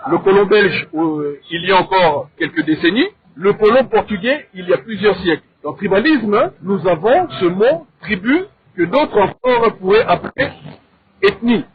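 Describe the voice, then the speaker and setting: A man with a Congolese accent debating in a measured, didactic way, in short deliberate phrases and with rhetorical questions. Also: high-pitched, animated, slight background noise. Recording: thin, phone-like narrow sound.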